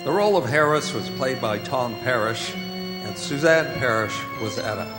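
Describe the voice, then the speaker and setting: A man hosting a radio theatre show, announcing in a formal, measured and ceremonious old-time style. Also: medium pitch. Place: performing arts centre stage before an audience. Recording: reverberant hall with music and audience.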